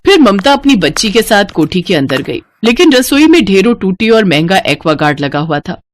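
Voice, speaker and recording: A woman's voice: mid-range voice, big pitch swings, moderate pace. A woman storyteller reading a scripted moral story as narrator.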